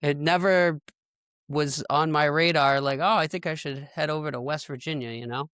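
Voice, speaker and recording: A man's voice: on the high side, fairly even intonation, normal speed, a bit bright, smooth, dry recording, clean studio sound. An American man chatting casually as a podcast host. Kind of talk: casual chat.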